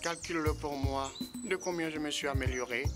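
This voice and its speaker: An actor playing a slow, polite old tortoise in a children's cartoon, speaking in a deliberate, pleading way. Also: mid-range voice, over loud music, natural voice. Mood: earnest, pleading.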